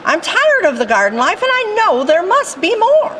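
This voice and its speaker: A woman's voice: extremely high pitch, wildly swooping pitch, normal speed, fairly bright tone, a little hiss or hum, pitched above the performer's own voice, heavily acted. A woman voicing a bored, defiant ear of corn in a storybook. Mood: impatient.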